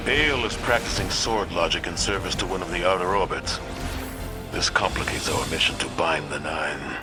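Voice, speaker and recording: Man as a deep-voiced, stern old commander. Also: over music, lightly acted. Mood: grave, measured. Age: older. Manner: grave, measured, commanding. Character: stern, grieving old military commander, authoritative and controlled. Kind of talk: cinematic game dialogue.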